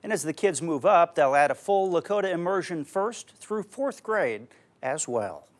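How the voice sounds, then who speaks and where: A man's voice: a little high, lively intonation, moderate pace. A man narrating a local TV news feature in a scripted reporter style.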